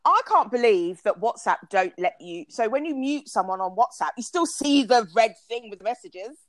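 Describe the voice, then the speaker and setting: Brief: young British woman, podcast host, chatty and bright-pitched, dry close mic. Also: expressive, normal speed, no room sound. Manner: chatty, laughs often. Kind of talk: casual chat.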